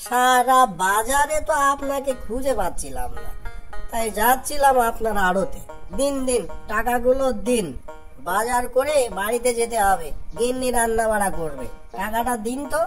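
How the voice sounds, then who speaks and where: A woman's voice: fairly high pitch, animated, normal speed, soft background music, natural voice. A woman voicing a simple, timid, gullible poor woodcutter husband in a cartoon folk tale, plaintive and pleading.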